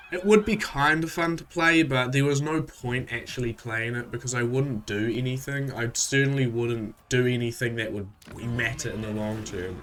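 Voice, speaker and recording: A man with a stuffy voice from a cold, hosting a casual, relaxed recap of a strategy game with frequent fillers. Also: medium pitch, a little hiss or hum. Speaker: gaming channel host.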